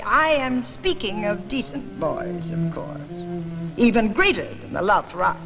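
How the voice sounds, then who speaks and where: A woman voicing a fat older father in a dramatic reading, bitterly defiant, with an emphatic, rhetorical and halting delivery. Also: a little high, creaky, lo-fi sound.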